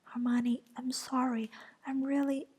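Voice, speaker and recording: A woman's voice: high-pitched, level tone, unhurried, darkish tone, husky, pitched above the performer's own voice. A woman voicing a defensive, apologetic teenage boy wizard in a pleading whisper.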